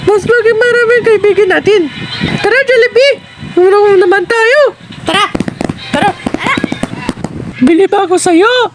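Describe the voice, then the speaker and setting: A woman's voice: extremely high pitch, noisy background. A woman voicing a cheerful, friendly young character in a children's show, exclaiming and addressing young viewers. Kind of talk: scripted children's show voice-over.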